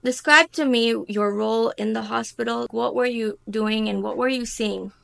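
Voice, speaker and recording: A woman with a gravelly, creaky voice hosts an interview. She asks short, direct questions and fills the gaps with soft murmurs of attention. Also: fairly high pitch, fairly even intonation, normal speed. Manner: attentive, many soft backchannels. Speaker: host, interviewer.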